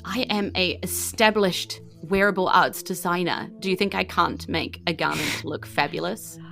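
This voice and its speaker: A woman voicing a bitter, injured male garment designer in a tabletop game, defensive and halting as he speaks. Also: on the low side, vocal fry, a bit bright, faint music behind. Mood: indignant, evasive.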